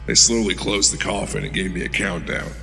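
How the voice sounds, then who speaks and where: An actor playing a veteran male super-soldier who calmly recounts his past in an audio drama. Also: brisk, creaky, faint music behind, exaggerated voice. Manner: steady recounting, low-key storytelling.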